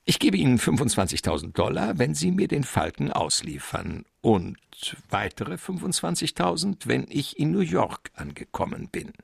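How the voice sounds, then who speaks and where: An actor playing a suave, wily criminal mastermind in a German radio crime drama, speaking in a courtly, verbose and pompous way. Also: male voice, a little high, sing-song, moderate pace, creaky, few pauses, husky, no echo, clean studio sound, heavily acted. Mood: suave bargaining.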